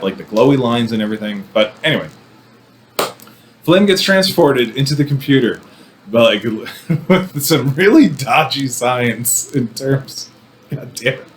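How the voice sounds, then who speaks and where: A man giving casual, rambling movie commentary as a host, with wry, animated asides. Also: medium pitch, a little hiss or hum. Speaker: film review podcast host.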